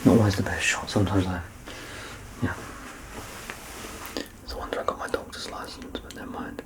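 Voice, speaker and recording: A man in his thirties doing a soft-spoken ASMR roleplay as a doctor, gentle and reassuring, often close to a whisper. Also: sits low, fairly even intonation, fairly quick, low-quality recording. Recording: clear background noise and ambience.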